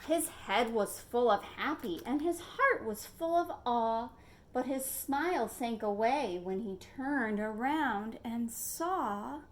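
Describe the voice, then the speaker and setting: Animated high-voiced woman reading to children. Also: slow, slight background noise. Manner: expressive, asks listeners questions. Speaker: children's librarian reading a picture book aloud. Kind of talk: animated storytime read-aloud for young children.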